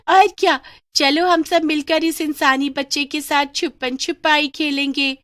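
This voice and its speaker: Woman doing a high, menacing ghostly witch girl. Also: fairly bright tone, no room sound, studio quality. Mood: taunting, cackling. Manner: taunting, drawn-out threats with cackling laughs. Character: menacing witch girl leading ghost children, gleefully taunting.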